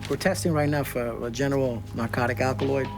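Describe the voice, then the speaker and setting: A man's voice: medium pitch, steady tone, quick pace, creaky. A man working as a customs officer explains a procedure in a matter-of-fact, procedural way. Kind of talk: on-the-job explanation. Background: background music.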